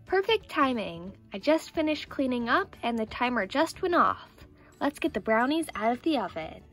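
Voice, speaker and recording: Lady doing a happy sweet doll girl for a toy video, highish voice, explains stuff, bit of music behind. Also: sing-song, normal speed, fairly bright tone. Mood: cheerful, instructional. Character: cheerful doll girl baking in her play kitchen. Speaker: toy channel host. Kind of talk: scripted doll play narration.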